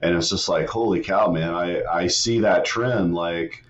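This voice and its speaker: A middle-aged man from West Virginia, a retired soldier speaking as a podcast guest in a laid-back, halting way. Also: low voice, muffled recording. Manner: laid-back, frequent "man" and "you know", halting restarts. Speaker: guest, retired Army master sergeant and author. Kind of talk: casual podcast interview.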